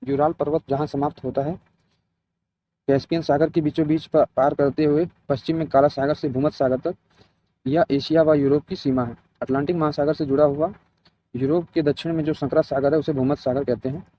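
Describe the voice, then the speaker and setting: A man's voice: on the high side, steady tone, brisk, dark tone. A man teaching a geography lesson, reading from notes and adding short instructional explanations. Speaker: geography teacher for competitive exam students. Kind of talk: scripted lesson read aloud with short explanations. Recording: dull, lo-fi, muffled sound.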